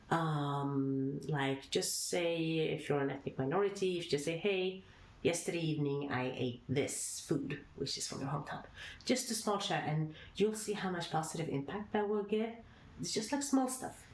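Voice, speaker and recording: A woman from Sudan, a founder being interviewed, giving earnest advice in short, halting phrases. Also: sits low. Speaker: guest, founder. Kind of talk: podcast interview answers. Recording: echoey room, faint noise.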